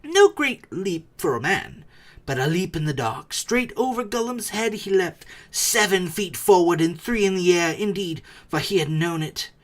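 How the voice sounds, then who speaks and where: A man's voice: high voice, animated, moderate pace. A man reading a storybook aloud as a dramatic narrator, with theatrical, suspenseful delivery. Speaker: audiobook reader.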